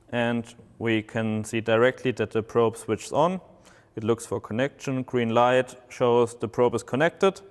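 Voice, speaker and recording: A man whose first language is German gives a halting product walkthrough, pausing often on filler sounds. His voice is smooth and resonant. It carries a long hall reverb. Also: mid-range voice. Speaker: product presenter. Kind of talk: product unboxing demonstration.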